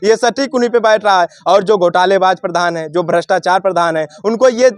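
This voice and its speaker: A man's voice: high-pitched, fairly quick, clean tone, dry recording. A man, speaking as a local news reporter in a rhetorical, declamatory way that addresses the viewers. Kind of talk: spoken news commentary and interview.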